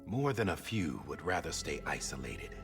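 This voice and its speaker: An actor playing a solemn, persuasive middle-aged American government official in a video game, speaking in an earnest, deliberate way. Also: male voice, very deep voice, sing-song, creaky, exaggerated voice. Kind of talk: dramatic cutscene acting. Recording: music under the dialogue.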